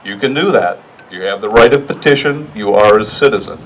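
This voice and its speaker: A middle-aged man giving a partly improvised rally speech in a deliberate, plainspoken way, pausing for emphasis. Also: fairly low pitch, animated, far from the mic, a little hiss or hum, rough recording. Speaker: rally speaker.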